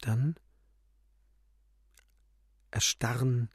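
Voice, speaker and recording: A man narrating a literary radio drama in a theatrical way, moving between declamation and hushed, measured reading. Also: medium pitch, fairly even intonation, background noise.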